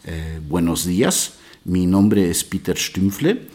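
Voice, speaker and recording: A man from Peru with a very deep voice introduces himself at an unhurried pace. His first languages are English and Spanish. He speaks as an integration guide, pausing often to find his words. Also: sing-song, darkish tone. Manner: slow, measured, with frequent hesitations. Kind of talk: personal self-introduction.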